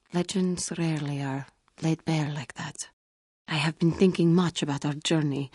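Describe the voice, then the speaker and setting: An actress playing a solemn, proud yet wounded female feline alien warrior monk in a video game, speaking in a formal, measured, guarded and earnest way. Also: low-pitched, darkish tone, husky, no echo, clean studio sound. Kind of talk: video game character dialogue.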